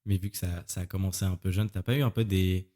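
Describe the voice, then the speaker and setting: A young man with a fairly low voice hosts a conversational interview. His delivery is informal and familiar, like chatting with a friend. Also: fairly even intonation, fast, dark tone. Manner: informal, chummy with guest. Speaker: podcast host, interviewer.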